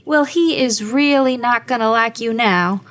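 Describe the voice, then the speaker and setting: A level-headed young woman played by an actress in an audio drama, with a fairly high voice and slow delivery. She is guarded, dry and firm. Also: a bit bright.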